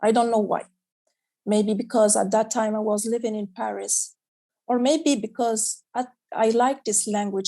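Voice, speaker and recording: Hesitant Moroccan-born woman author, soft mellow voice. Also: fairly high pitch, vocal fry. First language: Arabic. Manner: hesitant, searching for words. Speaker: children's author. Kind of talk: webinar interview answers. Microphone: video call.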